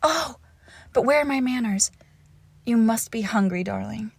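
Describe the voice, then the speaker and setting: A woman acting a slow, cooing lovesick witch. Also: fairly high pitch, gravelly, slightly rough, no room sound, heavily acted. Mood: playful, doting. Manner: cooing, halting, often drops into whispers. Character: lovesick possessive young witch woman, tender yet creepy captor. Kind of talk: intimate roleplay audio acting, close to the listener.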